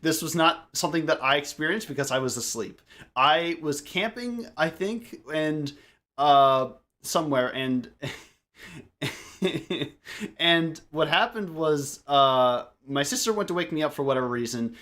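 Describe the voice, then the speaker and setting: A man chatting casually on a group podcast and telling anecdotes in an animated way, prone to laughing. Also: fairly high pitch, a little slow. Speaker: podcast co-host.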